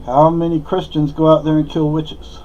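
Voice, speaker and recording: A man's voice: on the high side, level tone, dark tone, slight background noise. Rambling Spanish-born man, lo-fi recording. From Spain. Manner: rambling, halting, frequent fillers and restarts. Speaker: vlogger. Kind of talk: opinionated off-the-cuff monologue.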